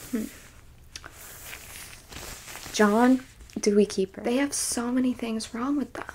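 A woman playing a haughty, squeamish alien abductor in an ASMR roleplay, whispering slowly and condescendingly as she coaxes a captive human. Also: fairly high pitch, a little mellow, slight background noise, low-quality recording. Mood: disgusted fascination, then condescending, menacing. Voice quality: breathy whisper. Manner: slow, condescending, coaxing.

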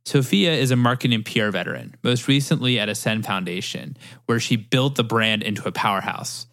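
A man's voice: mid-range voice, fairly quick, creaky. Man hosting a business podcast. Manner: even, reading-style delivery. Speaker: podcast host. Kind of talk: scripted intro, then interview questions.

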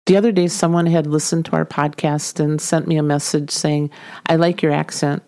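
A woman's voice: low-pitched, little pitch movement, moderate pace, a little mellow, no echo, clean studio sound, faint music behind. A middle-aged woman from Minnesota with a light Minnesota accent, hosting a podcast and reading a wry, homey personal story. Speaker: podcast host. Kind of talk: scripted storytelling narration.

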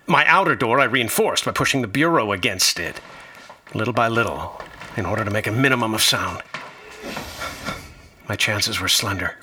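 An actor playing an anxious, bookish traveler, narrating his ordeal in a radio drama with formal, uneasy wording and a husky voice. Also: medium pitch, sharp tone, slight background noise. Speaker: narrator and lead character. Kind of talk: scripted first-person radio drama narration.

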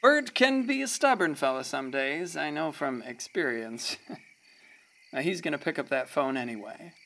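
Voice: mid-range voice, lively intonation, moderate pace, pushed and bright, a little hiss or hum. An actor narrating a radio play as a folksy, wistful small-town storyteller.

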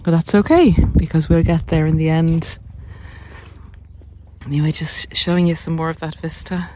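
A mellow voice gives gentle, reflective advice while walking outdoors. The delivery is even and reassuring, with unhurried pauses. There is light outdoor noise with birdsong behind it. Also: medium pitch, highs cut off. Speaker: narcissistic abuse recovery coach. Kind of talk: walk-and-talk vlog, reflective advice. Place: outdoors on a country walk.

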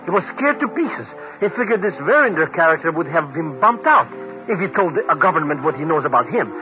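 An actor playing a slangy small-time crook informant in a 1950s radio drama. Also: male voice, a little high, very animated, fairly quick. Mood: eager. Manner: colloquial, mangled slang. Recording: lo-fi radio, music behind.

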